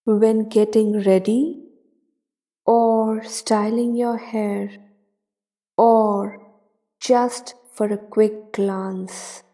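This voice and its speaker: A woman narrating a guided meditation in a soothing, deliberate manner, leaving long pauses between short phrases. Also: medium pitch, little pitch movement, mellow.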